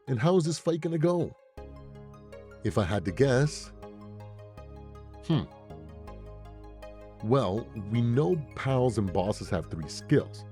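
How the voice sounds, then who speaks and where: A man's voice: lowish voice, mellow. A man hosting casual, scripted gaming commentary, talking in rhetorical questions and playful asides. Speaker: gaming video creator and host. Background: background music.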